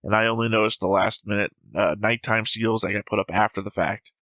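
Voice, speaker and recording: A man's voice: fairly low pitch, fairly even intonation, fairly quick, fairly bright tone, dry recording. A man co-hosting a reaction show, casually talking through his notes, analytical and jokey. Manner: analytical, jokey, acts out quotes. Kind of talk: casual discussion reading notes.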